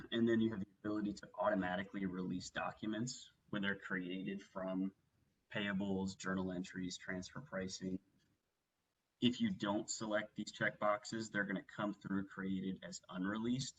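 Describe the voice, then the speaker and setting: A man working methodically through a software walkthrough as a webinar trainer, explaining each step. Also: low-pitched, little pitch movement, moderate pace, breathy edge, low-quality recording. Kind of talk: instructional software walkthrough, semi-scripted presentation.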